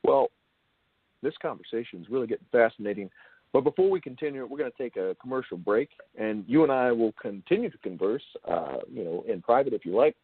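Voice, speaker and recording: A man's voice: mid-range voice, expressive, a little fast, breathy edge, no room sound. A man hosting a show, talking conversationally as he interviews a guest. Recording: dull phone-like call audio.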